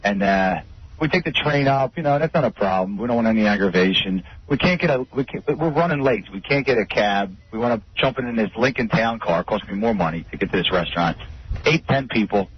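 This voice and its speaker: A man's voice: fairly low pitch, expressive, a little fast, husky. A man from Philadelphia calling a radio show, casually telling a rambling, aggrieved story. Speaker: caller. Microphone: telephone. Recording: muffled radio call, faint noise.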